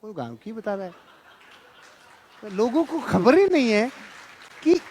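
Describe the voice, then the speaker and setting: A man with a high-pitched voice delivers a motivational seminar speech. He is emphatic and repeats key phrases for effect. His storytelling is colloquial and laced with humour. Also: sing-song, unhurried, a little mellow, husky, some chatter behind. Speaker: motivational speaker and trainer.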